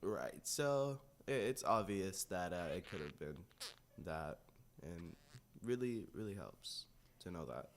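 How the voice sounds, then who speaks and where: A man's voice: low voice, lively intonation, takes it slowly, gravelly. A young man co-hosting a podcast, chatting casually and informally. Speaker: podcast co-host.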